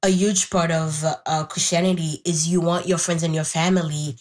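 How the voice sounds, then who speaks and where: A woman's voice: low-pitched, little pitch movement, normal speed, darkish tone. A young woman from Haiti, a native Haitian Creole speaker, reflecting candidly and thoughtfully on her personal life as an interview guest. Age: young adult. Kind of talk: reflective personal storytelling in conversation.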